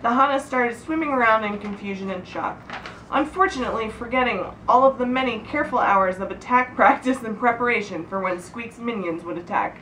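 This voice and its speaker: A woman's voice: fairly high pitch, brisk, bright, forceful tone. A woman reading a humorous story aloud to friends in a giggly, informal way, stumbling and breaking off to comment. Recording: echoey room, distant mic, background noise.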